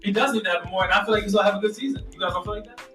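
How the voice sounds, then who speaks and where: Casting: man, high voice, casual and insistent sports debater, roomy sound a bit off the mic. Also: racing, fairly bright tone, recorded from a distance. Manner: argumentative, insistent, slangy. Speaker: sports podcast co-host. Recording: roomy, off-mic, music underneath. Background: music.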